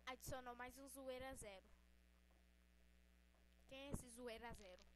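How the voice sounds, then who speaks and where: A woman recording a casual gaming video, trading loud slang-filled jokes and teasing the others. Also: high-pitched, unhurried. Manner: loud teasing slang-heavy banter with frequent laughs. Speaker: video maker. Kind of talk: casual joking chat while recording gameplay. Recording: very noisy home recording.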